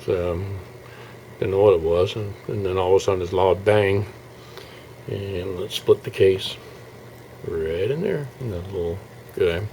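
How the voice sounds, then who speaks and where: A man giving a casual, halting walkthrough as a hobbyist showing his restoration work, thinking aloud as he goes. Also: low-pitched, warm tone, a little hiss or hum, rough recording. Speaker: hobbyist radio restorer presenting his project. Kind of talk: casual informal video walkthrough.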